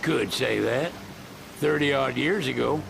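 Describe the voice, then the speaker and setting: A man's voice: medium pitch, measured pace, gravelly, noisy background. An actor playing a laid-back, folksy older boatman in a video game, terse and easygoing. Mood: wry, calm. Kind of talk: video game cutscene acting.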